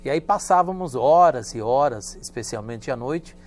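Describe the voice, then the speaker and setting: A man, a professor whose first language is German, telling childhood stories in a measured, storytelling way during a studio interview. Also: medium pitch. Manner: measured and storytelling, with lively emphasis. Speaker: guest professor.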